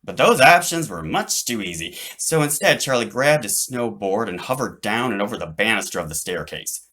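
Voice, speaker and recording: A man reading his own children's book aloud as an animated narrator. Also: fairly low pitch, moderate pace.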